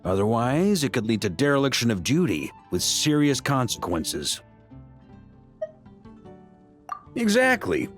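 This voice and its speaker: A man acting as a seasoned knight commander from a fantasy video game, older and fond of drink. He talks in an easygoing, boastful way with a lively, swinging intonation at a medium male pitch. Music plays behind him. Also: natural voice. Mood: wry, confident. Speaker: video game character voice actor. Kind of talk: conversational video game dialogue.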